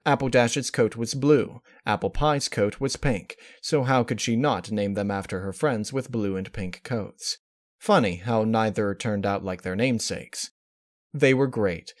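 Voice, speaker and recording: A man reading scripted fan fiction as its narrator. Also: medium pitch.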